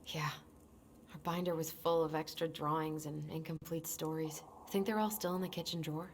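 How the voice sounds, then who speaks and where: A woman's voice: low-pitched, steady tone, moderate pace. A woman playing a wry, reflective young adult in a video game, speaking in an understated way. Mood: wry, nostalgic. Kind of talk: game cutscene voice acting. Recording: faint background noise.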